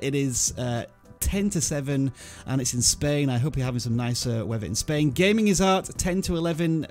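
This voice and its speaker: A man in his thirties with an English accent, hosting a casual livestream from a small home studio and cheerfully greeting viewers in the chat. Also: a little high. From England. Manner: upbeat, reading out chat names and greeting viewers. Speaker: livestream host. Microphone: studio microphone on arm, headphones.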